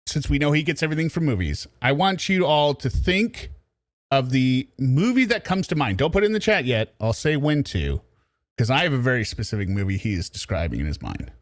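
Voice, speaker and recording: A man's voice: mid-range voice, very animated, a little fast, dry recording, studio quality. A man hosting live true-crime commentary for a chat audience, speaking in a blunt, mocking way. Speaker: host of commentary show.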